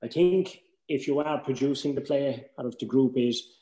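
A man's voice: mid-range voice, moderate pace, mellow. An older man with an Irish accent gives informal, advisory workshop tuition, often checking in with short tags, on a video call. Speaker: workshop tutor. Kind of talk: informal instructional talk. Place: indoors at home on a video call. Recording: roomy echoing video call.

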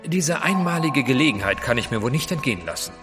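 An actor playing a courteous gentleman adventurer in an audio drama, speaking politely and formally. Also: medium pitch, expressive, quick pace, long reverb tail, over music, lightly acted. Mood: intrigued. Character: courteous gentleman adventurer, devoted husband, keen observer. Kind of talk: German audio drama acting.

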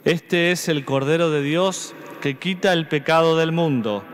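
A man in his thirties with an Argentine accent, a priest slowly reciting mass prayers at the altar. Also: on the high side. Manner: slow solemn recitation. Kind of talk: liturgical prayer. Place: large church. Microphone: stand mic at altar. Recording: long church reverb.

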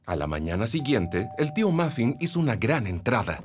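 Lively male storybook narrator. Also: medium pitch, normal speed. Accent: Latin American Spanish. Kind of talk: scripted children's storytelling narration. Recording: music behind the voice.

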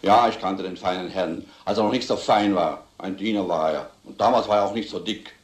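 Male actor as sneering gossip, low deep voice, old film, echoey. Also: brisk, natural voice. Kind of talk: old German crime film dialogue.

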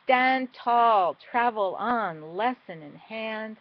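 A middle-aged woman performing a spoken-word poem with dramatic, deliberate phrasing that moves between emphatic and hushed. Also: fairly high pitch, animated. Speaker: poet. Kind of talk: spoken-word poetry recital. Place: small indoor room at home. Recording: dull, lo-fi webcam sound.

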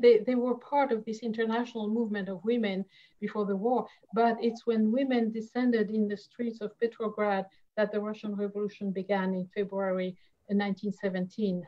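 A woman from France with a French accent, a history professor speaking on an online panel, pausing often with fillers and restarts. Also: mid-range voice, lo-fi sound. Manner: halting, many fillers and restarts. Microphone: video call.

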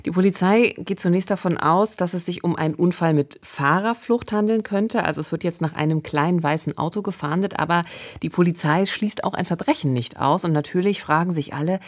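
A woman's voice: lowish voice, a little fast. A woman in her thirties or forties co-hosting a true-crime podcast, narrating conversationally in a studio. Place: small indoor podcast studio. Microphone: close studio condenser microphone with pop filter.

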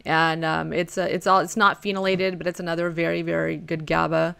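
A woman in her forties hosting a podcast over a video call, friendly and casual, laughing easily and filling pauses with um and uh. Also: low voice, flat delivery, creaky, a bit bright, smooth. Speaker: podcast host. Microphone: close condenser mic.

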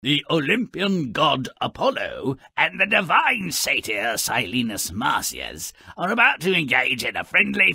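An actor voicing a gleefully morbid, sardonic dwarf storyteller in a video game, raspy, mocking and theatrical as he narrates twisted fairy tales. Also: a little high, pushed and bright, no room sound, studio quality, heavily acted. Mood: wry storytelling. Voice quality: raspy, gravelly. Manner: mocking, theatrical, relishing the gruesome.

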